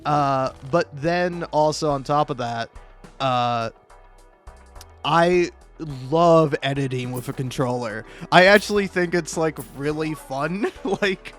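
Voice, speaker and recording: A young man telling casual, self-deprecating stories in an animated, rambling monologue, recorded on a modest home mic. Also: on the high side, takes it slowly. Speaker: video creator. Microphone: cheap mic, home recording. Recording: amateur mic with occasional plosive pops. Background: music.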